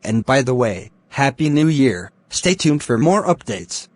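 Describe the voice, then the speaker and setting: A man's voice: medium pitch, big pitch swings, a little slow, dry recording. A man delivering a scripted mock emergency broadcast as a formal, stilted alert announcer.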